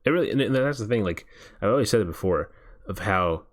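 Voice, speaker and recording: Man, very deep voice, rambling casual stream chat. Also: expressive, fast. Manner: rambling, reads viewer chat aloud. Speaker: streamer hosting a watch party. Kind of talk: casual chat during a movie watch party.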